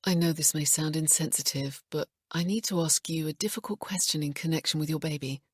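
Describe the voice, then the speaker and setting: A woman playing a calm, gentle detective. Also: low-pitched, husky, no echo, studio quality. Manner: gentle, measured and patient. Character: calm, sensitive female police detective.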